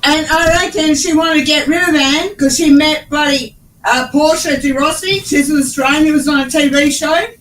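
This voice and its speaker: Woman, high-pitched, hoarse with flu, blunt, chatty, off-mic, faint background noise. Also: steady tone, normal speed, fairly bright tone, distant mic, poor audio. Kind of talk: casual chat.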